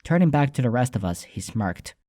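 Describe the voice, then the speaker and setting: Guy narrating a story in first person, soft dark tone, mid pitch, music underneath. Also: very animated, a little fast, dry recording, clean studio sound. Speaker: audiobook narrator. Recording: narration over soundtrack music.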